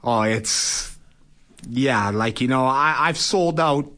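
A man's voice: mid-range voice, slow, sharp tone. A man speaks as an athlete guest in a casual interview, conversational and often tagging phrases with "you know" and "right?". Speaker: guest, professional boxer.

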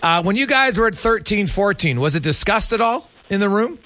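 A man's voice: sits high, lively intonation, brisk, sharp tone. A man hosting a sports radio interview, chatty and conversational, building long rambling questions.

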